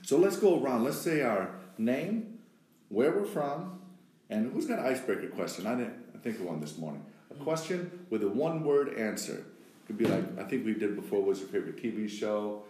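A man from Wisconsin leading a group meeting, encouraging and lively, often laughing. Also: lowish voice, moderate pace, slight background noise. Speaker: group leader. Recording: roomy echo.

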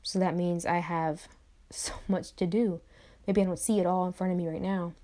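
A woman's voice: on the low side, flat delivery, moderate pace, warm tone. A young adult woman speaking to camera in a reflective motivational monologue, repeating phrases and tossing in conversational asides. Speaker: vlogger.

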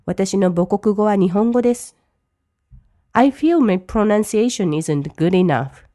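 A woman who teaches English, reading each English line slowly and then translating it briskly. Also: mid-range voice, animated, warm tone, dry recording, studio quality. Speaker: language teacher. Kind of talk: bilingual lesson commentary.

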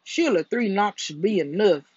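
A storyteller voicing a gentle, simple-minded male storybook bear, musing and earnest with a slight fret. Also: mid-range voice, expressive, normal speed, husky, dry recording, lo-fi sound. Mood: musing, hopeful.

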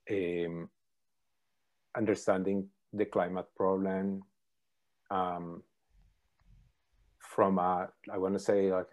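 A middle-aged man, a professor answering interview questions thoughtfully, pausing often with ums. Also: low-pitched, a little mellow. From Colombia. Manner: thoughtful, hesitant with many ums. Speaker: professor, podcast guest.

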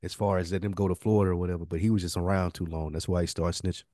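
A man's voice: low-pitched, steady tone, rapid-fire, mellow, dry recording, clean studio sound. A man co-hosting a podcast in casual chat, agreeable and slangy in the way he talks. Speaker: podcast co-host.

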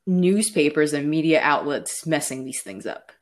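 A young woman in casual conversation, reflecting on her own experiences with frequent pauses and fillers. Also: sits low, animated. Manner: reflective, personal storytelling with fillers. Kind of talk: casual discussion.

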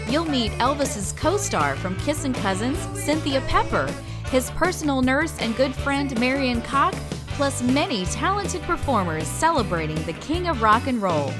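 A woman reading a scripted promotional announcement in an upbeat selling manner. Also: sits high. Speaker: radio network founder and announcer. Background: music.

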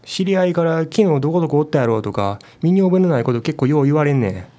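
A man's voice: a little high, big pitch swings, fast talker, mellow. A man playing an easygoing, slightly puzzled young client with a Kansai accent, in conversational radio drama style. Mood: mildly troubled.

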